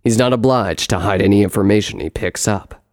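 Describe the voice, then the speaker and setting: A man voicing a grave, commanding male pony in a storybook, speaking in a measured, emphatic, solemn way. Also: on the low side, no echo, studio quality, natural voice. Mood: grave, firm.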